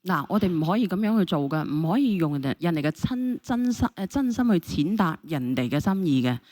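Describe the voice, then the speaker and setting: Woman host. Slightly low, quick delivery, dry close sound, insistent mediator. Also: animated, darkish tone, no echo. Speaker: call-in show host. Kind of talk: mediating and questioning callers.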